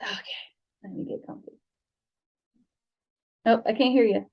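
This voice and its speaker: A woman's voice: fairly high pitch, steady tone, unhurried, breathy edge, muffled recording. An American woman co-hosting a fan podcast, reading stories aloud and chatting casually about books. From United States. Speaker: podcast co-host and audiobook reader. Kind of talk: story reading and casual book chat.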